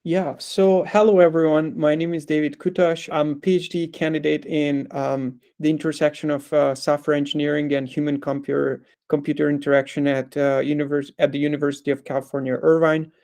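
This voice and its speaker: A man in his thirties from Hungary, giving an online lecture over a video call, halting with many ums and likes. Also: fairly high pitch, little pitch movement, a little mellow. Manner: hesitant, with frequent ums, uhs and likes. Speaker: guest speaker.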